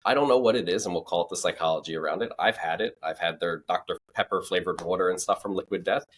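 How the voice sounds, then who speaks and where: Deep-voiced man as podcast guest. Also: fast talker. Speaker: podcast guest, marketing expert. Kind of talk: conversational expert talk.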